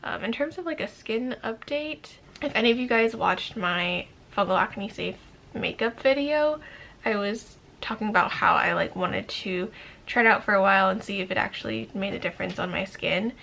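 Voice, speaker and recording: A woman in her twenties with a raspy morning voice, chatting casually through her skincare routine in a get-ready-with-me vlog, full of ums and likes. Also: mid-range voice, normal speed. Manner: relaxed, rambling, lots of ums and likes. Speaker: beauty vlogger.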